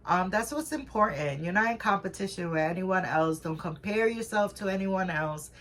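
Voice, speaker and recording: A woman's voice: mid-range voice, lively intonation, moderate pace, fairly bright tone. A woman co-hosting a casual reselling chat, talking in a rambling way with lots of fillers and restarts. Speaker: co-host of a reselling channel.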